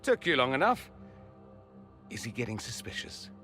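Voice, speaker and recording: An actor playing an urbane, flamboyant mage in a video game, wry, theatrical and self-assured. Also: male voice, medium pitch, big pitch swings, moderate pace, sharp tone. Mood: dry, concerned. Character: witty, flamboyant, urbane male mage from a foreign empire. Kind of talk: video game character acting. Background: music.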